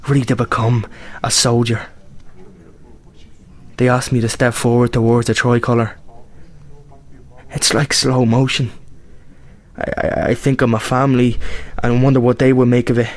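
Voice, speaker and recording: An actor with a Northern Irish accent playing an earnest, determined young republican volunteer in a radio play. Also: male voice, medium pitch, flat delivery, moderate pace, long pauses, close to an everyday voice. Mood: grave, resolute. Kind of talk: radio drama monologue and dialogue.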